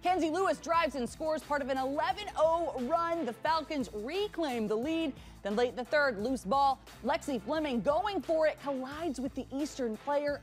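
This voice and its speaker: A woman anchor narrating basketball highlights in a crisp newscast style. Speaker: news anchor. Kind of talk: sports news narration.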